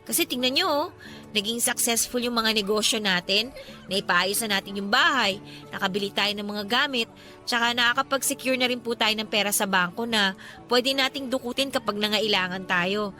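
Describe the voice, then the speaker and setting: A woman's voice: a little high, normal speed, sharp tone, soft background music. A woman acting a cheerful, devout daughter in her twenties in a Filipino radio drama, with a playful, respectful and earnest manner and a Filipino accent. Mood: earnest, upbeat. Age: 20s. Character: cheerful, devout, teasing 28-year-old daughter.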